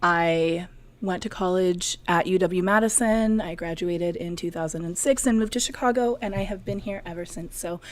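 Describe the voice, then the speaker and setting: A woman's voice: on the low side, normal speed. A middle-aged woman from northern Wisconsin, co-hosting a casual chat and laughing at herself often. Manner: chatty, self-deprecating, laughs often. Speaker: co-host, knitwear designer. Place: hot apartment in a city. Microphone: video call.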